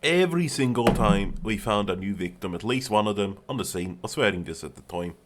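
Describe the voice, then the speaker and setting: A man's voice: on the low side, expressive, fairly quick. A man playing a world-weary, grave older detective in a fantasy audio drama. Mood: incredulous, exasperated. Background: music.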